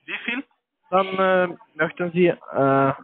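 A man with a foreign accent speaks in a fairly high voice over a thin, tinny phone line. He works through a sales pitch as a call-centre salesman, haltingly searching for his words as a non-native speaker. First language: not German. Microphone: telephone.

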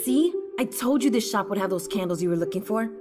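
A woman's voice: mid-range voice, lively intonation, fast, over music, natural voice. An actress playing a laid-back young female friend in a radio drama. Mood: chatty.

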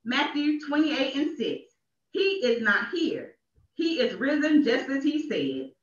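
A woman's voice: high voice, very animated, takes it slowly, far from the mic. A woman minister delivering a sermon in an emphatic way, repeating phrases for effect, in a small church. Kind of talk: sermon read with deliberate emphasis. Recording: echoey, dull church livestream from off.

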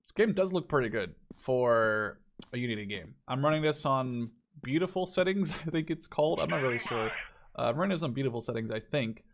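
A man's voice: mid-range voice, lively intonation, brisk, a little hiss or hum. A young man giving casual, informal let's play commentary on a game. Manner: informal, sweary. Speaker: gaming video creator.

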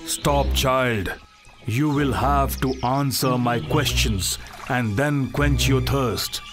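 A man's voice: mid-range voice, takes it slowly, music in the background, close to an everyday voice. A man voicing a stern, taunting, mysterious spirit in a cartoon. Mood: commanding.

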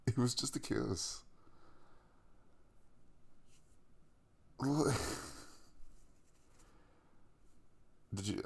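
A man's voice: lowish voice, vocal fry, slightly rough, full character voice. A man playing a caring young crush the morning after a kiss in an intimate ASMR roleplay, speaking casually and hesitantly, with a reassuring manner. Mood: bashful, casual. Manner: hesitant, reassuring, with soft chuckles and trailing pauses.

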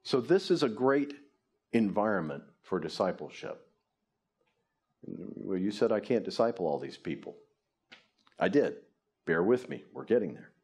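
Man, fifties, pastor, earnest sermon, lively swooping pitch, church stage. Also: measured pace, pauses often, husky. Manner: earnest, lively preaching at a lectern. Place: church stage before a congregation.

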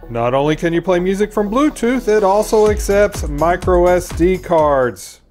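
A middle-aged man delivers a spoof sales pitch in announcer style. His voice sits a little high and moves around a lot, with music behind it. Every selling point gets exaggerated emphasis. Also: lively intonation, a little slow, darkish tone. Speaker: host of a parody product video. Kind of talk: parody infomercial announcer narration.

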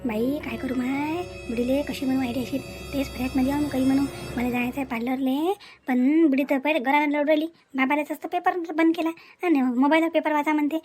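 A woman's voice: sits high, steady tone, brisk, a little mellow, soft background music, lightly acted. A woman voicing a vain, calculating young daughter-in-law in a family cartoon, muttering complaints in a Varhadi Marathi accent. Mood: scheming, hesitant. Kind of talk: cartoon family comedy acting.

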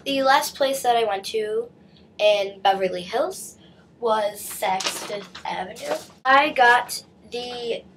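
A woman's voice: a little high, slow, slight background noise. A teenage girl chatting through a shopping haul on her webcam, stuffy from a cold, with lots of likes and restarts. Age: teens. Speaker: vlogger. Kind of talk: casual haul video chat. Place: small bedroom indoors. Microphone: webcam at desk distance.

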